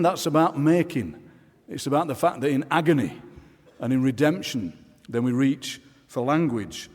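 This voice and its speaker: A man's voice: mid-range voice, darkish tone. Man presenting onstage in an echoing hall. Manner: rhetorical, emphatic repetition. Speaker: poetry event presenter. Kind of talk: spoken introduction to a live audience. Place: large concert hall stage. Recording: long hall reverb on stage.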